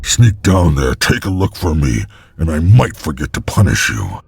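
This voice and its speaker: An actor playing a cruel, scheming overseer in a video game, with a gravelly, menacing voice. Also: male voice, very deep voice, very animated, normal speed, a little mellow, dry recording, full character voice. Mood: sly, coercive. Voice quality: gravelly, husky. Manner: menacing, swooping between sneer and bellow. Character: cruel bullying slave-driver overseer, male, scheming and threatening. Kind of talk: video game cutscene acting. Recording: music behind.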